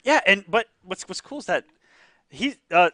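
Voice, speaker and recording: A man hosting and commentating a fighting-game tournament live, excitable, laughing often and tripping over repeated words. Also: high voice, normal speed, no room sound, clean studio sound.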